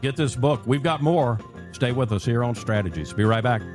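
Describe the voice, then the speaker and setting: An American man hosting a radio show in an animated, conversational way, with emphatic, repeated phrases. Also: medium pitch, normal speed, over music.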